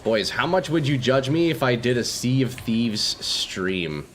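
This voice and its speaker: Young gamer dude streaming, stuffy nose, super animated pitch, calls everyone bro. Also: male voice, measured pace, slight background noise. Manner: laid-back and slangy, calls viewers bro and dude. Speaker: game streamer. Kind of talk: casual live-stream chat with viewers.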